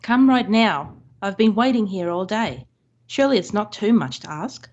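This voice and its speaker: An actress playing a demanding, jealous middle-aged wife in an old-time radio drama, with an imperious, scolding manner. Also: female voice, mid-range voice, lively intonation, moderate pace, a little mellow, highs cut off, close to an everyday voice.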